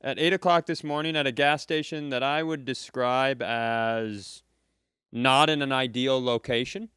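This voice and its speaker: Guy cohosting a comedy podcast live at a club, dry rambly storytelling, smooth voice right on the mic. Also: mid-range voice, fairly even intonation, a little slow, fairly bright tone, no room sound. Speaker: podcast co-host. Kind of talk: casual comedic podcast chat.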